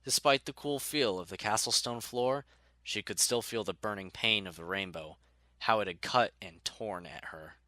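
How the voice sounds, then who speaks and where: A man gives a dramatic, scripted audiobook narration. His voice is fairly low, with a bright, forceful edge. The sound is dry, with no room to it. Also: normal speed, dry recording.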